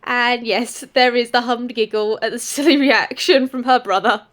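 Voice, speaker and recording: A woman improvising present-tense narration as a player in a role-play story, gushing and expressive. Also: fairly high pitch, normal speed.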